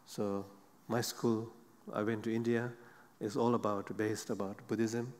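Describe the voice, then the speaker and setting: A middle-aged man, a monk seated on a large theater stage, talks in careful, halting English, often stopping to search for words. His pitch sits a little on the low side, and his voice carries a long hall reverb. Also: level tone, a little mellow. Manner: halting non-native English with many pauses and "you know". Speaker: Buddhist monk panelist. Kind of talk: unscripted panel talk.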